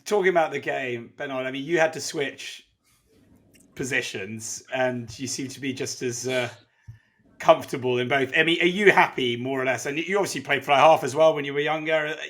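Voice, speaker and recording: A man asking a guest measured interview questions as a podcast co-host. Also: medium pitch, a bit bright.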